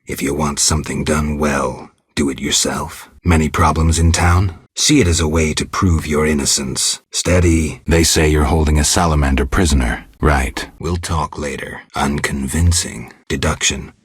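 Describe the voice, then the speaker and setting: Game lead: cynical monster-hunting mutant man, rock-bottom pitch, very gravelly, deadpan and curt. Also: normal speed, hardly any pauses, no room sound, exaggerated voice. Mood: terse, deadpan. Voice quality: gravelly, husky. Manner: terse, deadpan, dry. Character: gruff, cynical mutant monster hunter, adult man, coolly sardonic. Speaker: video game protagonist. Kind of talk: video game character dialogue.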